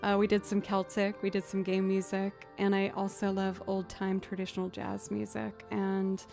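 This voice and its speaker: A young woman with a low voice, chatting casually and rambling to her live stream audience. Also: monotone, moderate pace, creaky, a little mellow, soft background music. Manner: chatty, rambling, self-deprecating. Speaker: streamer and musician.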